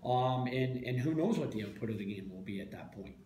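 Halting man talking in an echoey room. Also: mid-range voice, expressive, mellow. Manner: halting, many repeated words and fillers. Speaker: head coach. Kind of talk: postgame press interview. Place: small press room, indoors. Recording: echoey room, faint background hiss.

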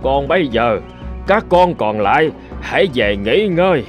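A calm old abbot from a cartoon folk tale, addresses his pupils. His delivery is measured, solemn and teacherly, at a middling pitch, with soft music behind him. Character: old bearded temple abbot, calm, wise master. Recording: music under the voice.

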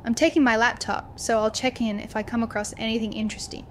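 A woman's voice: on the high side, fairly even intonation, fast talker, a little hiss or hum, close to an everyday voice. A woman playing a young student confiding a horror story to her video diary in a dramatic way. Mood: casual, tired. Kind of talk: first-person horror audio diary.

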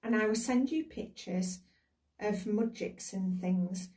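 A cheerful, fond father of genteel manners, as a woman reader plays him in a storybook. He sounds mellow and reassuring, recorded slightly far from the microphone. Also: medium pitch, slow, close to an everyday voice. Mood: fond farewell. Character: affectionate, cheerful gentleman father soothing his children.

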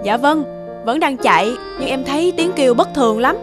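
An actress playing a mild, concerned young working woman in an animated film, speaking politely. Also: high-pitched, fairly quick, over music. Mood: polite, worried. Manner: polite, asking.

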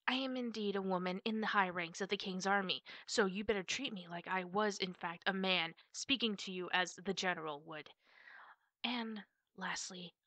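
A woman's voice: medium pitch, bright, forceful tone, no room sound. A woman voicing a stern, blunt part-wolf army officer who trains knights, in a scripted roleplay audio drama. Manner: tough, bossy, blunt.

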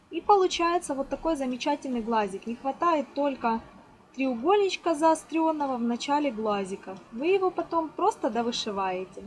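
A woman informally explaining a hands-on craft step by step, as a tutorial host. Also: high voice. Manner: fond of diminutives, explains step by step. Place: indoors at a table. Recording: noisy amateur home recording.

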